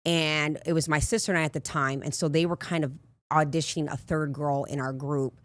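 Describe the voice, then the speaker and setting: Raspy low-voiced woman telling stories. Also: steady tone, fairly quick. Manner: candid, rambling storyteller with frequent asides. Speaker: life coach, podcast guest. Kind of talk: casual interview storytelling.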